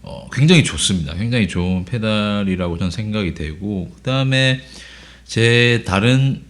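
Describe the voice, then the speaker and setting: A man with a hoarse voice from a cold, casually explaining guitar pedals in a relaxed way, with frequent filler sounds. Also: lowish voice, normal speed. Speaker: guitar teacher. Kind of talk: casual gear demo lesson.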